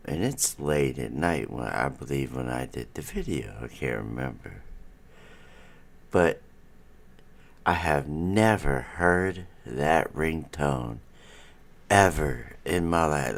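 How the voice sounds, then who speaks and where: A man's voice: rumbling low, vocal fry, a bit bright. A middle-aged man hosting a casual live stream from home, rambling as he chats with viewers, his nose stuffy. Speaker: livestream host.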